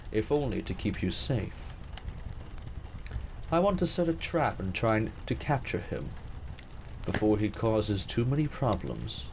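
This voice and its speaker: A man's voice: lowish voice, a little mellow, slight background noise. A man playing a protective, commanding king in a period romance audio drama, speaking formally and measuredly. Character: protective, commanding king, teasing but fond cousin.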